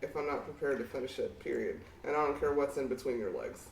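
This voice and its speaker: A woman with a fairly deep voice co-hosting a casual podcast chat, blunt and outspoken, swearing freely. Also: lively intonation, fast talker, vocal fry, darkish tone, recorded from a distance, a little hiss or hum. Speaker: podcast co-host. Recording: echoey room, off mic.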